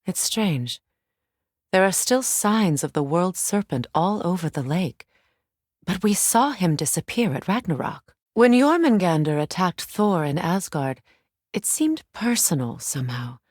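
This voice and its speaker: An actress playing a proud, mature Norse goddess in a video game, measured and formal in speech, wry and guarded. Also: female voice, lowish voice, sing-song, darkish tone, no room sound, studio quality. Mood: reflective. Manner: measured, dignified, formal phrasing. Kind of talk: dramatic game dialogue and storytelling.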